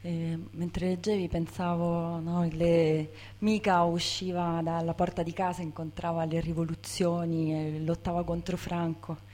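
A woman's voice: fairly low pitch, level tone, a little slow, mellow. A woman talking informally to an audience, reflective and hesitant, repeating words as she searches for them. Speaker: bookseller, co-founder of a women's bookshop.

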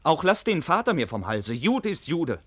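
An actor playing a brusque, proud young knight in a 1950s German radio play, with curt stage diction. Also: male voice, a little high, big pitch swings, normal speed, a bit bright, dry recording. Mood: scornful, irritated.

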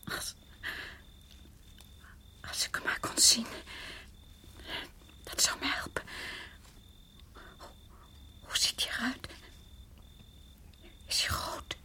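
A woman's voice: takes it slowly, long pauses, bright, forceful tone, whispered. A woman acting in a radio play as an anxious, insistent wife who falters and repeats herself. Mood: frightened, hushed. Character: frightened wife, frozen still, anxiously insistent.

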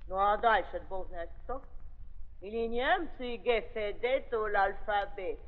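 An actress playing a blunt, gossipy old aristocratic aunt who drawls for emphasis and drops in French, in 1930s theatrical style. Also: female voice, medium pitch, moderate pace, close to an everyday voice. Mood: gossipy, breezy. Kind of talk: 1930s theatrical radio acting. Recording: dull 1930s recording with hall reverb.

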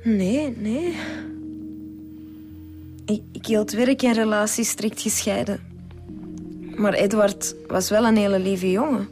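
A woman's voice: medium pitch, takes it slowly, long pauses, lightly acted. Guarded young woman played by an actress, music behind. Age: young adult. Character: guarded young woman, former escort, under questioning. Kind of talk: radio drama acting.

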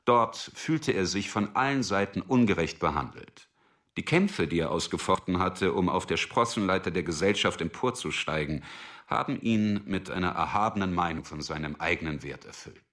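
A man's voice: low voice, animated, moderate pace, a bit bright. A man narrating a radio drama from a script.

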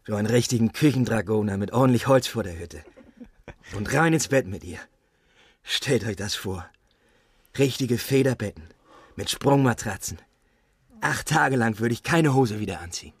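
An actor in a German radio drama playing an earthy, simple, lusty peasant soldier with a coarse, boastful manner. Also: male voice, lowish voice, moderate pace, pauses often, breathy edge, no echo. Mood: lustful relish.

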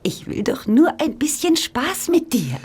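Slow, coaxing Victorian streetwalker. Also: female voice, sits high, huge pitch swings, darkish tone, whispered, full character voice. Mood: seductive, coaxing. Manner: teasing, breathy, drawling. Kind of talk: radio play acting.

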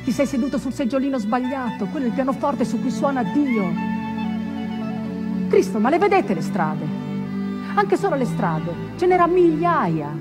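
Wistful ship pianist's monologue, high voice, deliberate, emphatic, theatrical pauses, prominent music behind. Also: female voice, sing-song. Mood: intense, anguished. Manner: deliberate, emphatic, repeating phrases. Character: male ocean-liner pianist and his storytelling friend, wistful, intense. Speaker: stage actress. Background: instrumental music.

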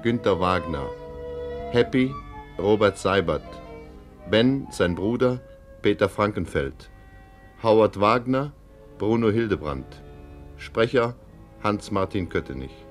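Slow, low-voiced male radio announcer. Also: lively intonation, faint music behind. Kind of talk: formal 1950 radio cast announcement.

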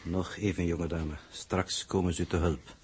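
An actor playing a cold, formal, analytical alien coordinator in a 1960s radio play, in measured, detached speech. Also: male voice, sits low, flat delivery, darkish tone, slight background noise, close to an everyday voice. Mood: detached, musing. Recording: 1960s radio drama recording.

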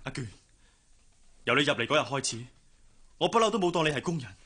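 聲音沙啞、講港式粵語嘅癡情少爺. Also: male voice, mid-range voice, big pitch swings, quick pace, sharp tone, slightly rough, full character voice. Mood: earnest, pleading. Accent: Hong Kong Cantonese. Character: male master of the house, lovesick, jealous, pleading. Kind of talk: radio drama acting.

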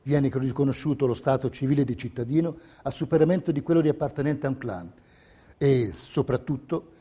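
A man delivering scripted theatrical narration in measured, deliberate phrases. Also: mid-range voice, warm tone. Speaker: stage narrator.

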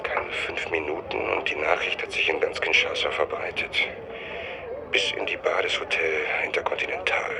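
A man's voice: rumbling low, little pitch movement, moderate pace, very noisy. An actor playing an obsessed, self-deluding male writer in a literary radio drama, with music behind him. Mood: grave, brooding. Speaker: lead actor and first-person narrator.

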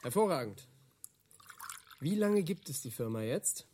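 A man's voice: fairly high pitch, sing-song, measured pace, dark tone, a little hiss or hum. A male actor playing a sceptical, probing interviewer who questions and reacts in a dry, clipped, conversational way. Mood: polite. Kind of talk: conversational radio drama acting.

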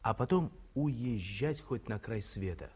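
An actor playing a glib, sardonic young son in a 1950s radio drama, with a quick, mocking delivery. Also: male voice, lowish voice, lively intonation, a little mellow, breathy edge. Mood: explaining.

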